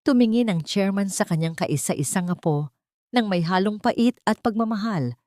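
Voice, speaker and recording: A woman reading a scripted, dramatic love story in a measured, solemn, melodramatic way. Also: mid-range voice, expressive, a little mellow, dry recording, studio quality. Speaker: story narrator.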